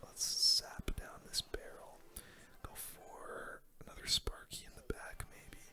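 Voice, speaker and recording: A person giving casual, slangy ASMR gaming commentary in a soft, unvoiced whisper. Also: takes it slowly. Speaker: gaming video host.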